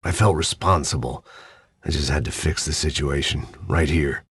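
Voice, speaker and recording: Game noir lead: a weary, cynical middle-aged ex-cop, gravelly and very low, dry and close to the mic. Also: male voice, moderate pace, no room sound, full character voice. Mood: weary sardonic. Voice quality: gravelly, deadpan, sardonic. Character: weary hard-drinking male ex-cop, bitter, middle-aged. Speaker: game protagonist. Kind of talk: noir inner-monologue narration.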